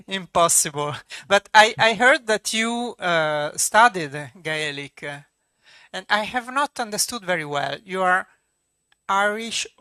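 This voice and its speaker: A bright, sharp-toned voice with an Italian accent moves slowly through questions in English. The speaker keeps pausing and searching for words in a second language, recorded close and dry. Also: medium pitch, clean tone, dry recording. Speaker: cinema host interviewer. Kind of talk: interview questions.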